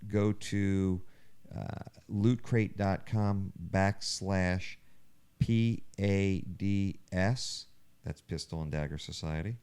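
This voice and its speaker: A man hosts a podcast in a very deep voice. There is a clear, resonant tone with a fair amount of fry in it. His delivery is casual and conversational. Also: fairly even intonation, slow, a little mellow. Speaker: podcast host. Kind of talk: casual podcast chat with sponsor plug.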